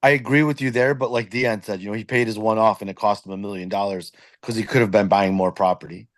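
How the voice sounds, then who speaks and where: A man's voice: fairly low pitch, fairly even intonation, fast talker, dry recording. A man in his late forties on a video call, speaking in a blunt, matter-of-fact way. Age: 40s. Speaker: real estate investor in an accountability group. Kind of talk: casual video call chat.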